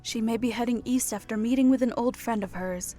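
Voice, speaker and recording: A woman playing a teasing, playful goddess with a silky, husky delivery in an audiobook drama. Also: a little high, fairly quick, mellow, faint music behind, close to an everyday voice. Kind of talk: audiobook character acting.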